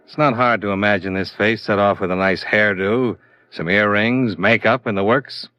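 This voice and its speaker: An actor in a 1950s radio drama playing a blunt, hard-boiled insurance investigator who talks in a terse, matter-of-fact way. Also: male voice, on the low side, moderate pace, smooth, dry recording, lightly acted. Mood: pressing, blunt. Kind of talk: 1950s radio detective acting. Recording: dull vintage radio recording.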